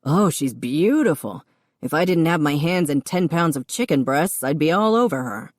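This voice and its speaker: A fussy, excitable male chef who gushes and scolds in raised, lively bursts, as a woman narrator puts him on. Her own low voice stays close and dry under the act. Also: moderate pace, dry recording. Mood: gushing. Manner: excitable, gushing.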